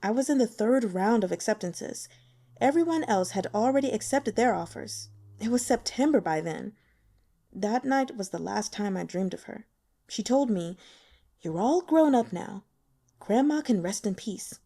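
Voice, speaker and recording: A woman voicing a shy, awkward young man who speaks gently, haltingly and sincerely in an audiobook. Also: medium pitch, animated. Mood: wistful. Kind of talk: audiobook character dialogue.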